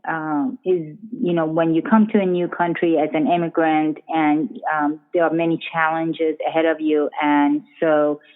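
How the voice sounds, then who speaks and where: A middle-aged woman from Iran, speaking English as a later language, talking hesitantly with many pauses as a guest author. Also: deep voice, level tone. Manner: hesitant, many ums, laughs easily. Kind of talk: casual interview chat. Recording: tinny phone-like line.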